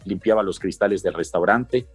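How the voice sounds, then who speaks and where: A man's voice: low-pitched, normal speed, husky, soft background music. A middle-aged Mexican man with a Mexican accent, earnestly recounting his life story in an interview.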